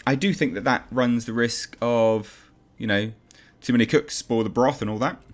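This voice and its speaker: A man's voice: medium pitch, normal speed. A man hosting casual movie news commentary, opinionated and blunt, with sardonic asides. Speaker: host of a movie news channel. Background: background music.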